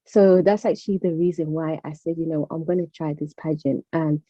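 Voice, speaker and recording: A woman's voice: sits low, steady tone, fairly quick, mellow, dry recording. A young woman from Zimbabwe, raised partly in the UK, giving a casual motivational talk as an online guest speaker. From born in Zimbabwe, moved to the UK aged about nine. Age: young adult. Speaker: guest speaker, former pageant contestant. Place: online video call. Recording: dull, dry lo-fi call audio.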